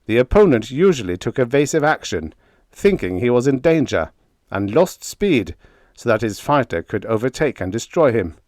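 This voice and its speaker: A man voicing a gruff, bold starship commander who barks crisp military orders in an audiobook story. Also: mid-range voice, big pitch swings, normal speed, darkish tone, no room sound, studio quality, pitched above the performer's own voice, close to an everyday voice. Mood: bellowing, instructive. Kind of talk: dramatised audiobook character dialogue.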